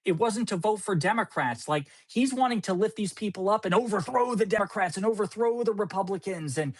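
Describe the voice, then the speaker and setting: A man's voice: sits high, lively intonation, a little fast. A man co-hosting a podcast who argues politics in a casual, insistent way and keeps repeating his point. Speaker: podcast co-host.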